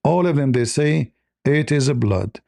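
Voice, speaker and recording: A man's voice: medium pitch, lively intonation, moderate pace, vocal fry, mellow, no echo, clean studio sound. A man whose first language is Arabic, hosting a heated live debate, combative and mocking, repeating himself insistently. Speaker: debate stream host.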